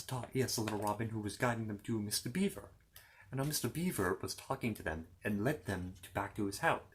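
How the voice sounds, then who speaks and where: A man with a mid-pitched voice works as an ASMR storyteller, retelling a children's fantasy tale. He improvises as he goes, circling back over phrases and slipping in little asides. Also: a little hiss or hum.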